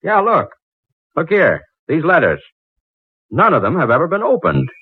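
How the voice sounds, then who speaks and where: An excitable, astonished fellow in an old radio drama, his pitch swinging widely. His delivery is urgent and theatrical, with a rough husky edge. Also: moderate pace, dry recording, full character voice. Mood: excited, amazed. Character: excitable man astonished by a hidden find. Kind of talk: 1940s radio drama acting. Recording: muffled narrow-band 1940s radio recording.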